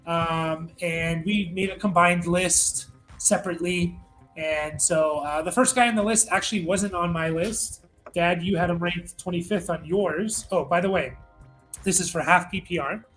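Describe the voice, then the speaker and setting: A man's voice: high voice, fairly even intonation, normal speed, a bit bright, soft background music. A man hosting a fantasy football show in a casual, conversational sports rankings style.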